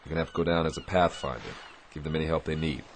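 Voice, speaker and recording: Navy captain: low, clipped, authoritative radio-drama delivery over noisy ambience. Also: male voice, wildly swooping pitch, racing, exaggerated voice. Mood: brisk. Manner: clipped, commanding. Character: authoritative male Navy destroyer captain, terse and grave.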